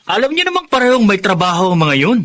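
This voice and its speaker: An actor playing a hurried, dismissive grown eldest son in a Filipino radio drama, brusque, with a Filipino accent. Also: male voice, high-pitched, sing-song, sharp tone, full character voice. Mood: impatient.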